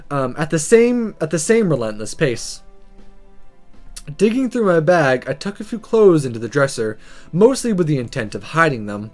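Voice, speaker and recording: A let's player reading a visual novel aloud in a dramatic, sometimes halting storytelling manner. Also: medium pitch.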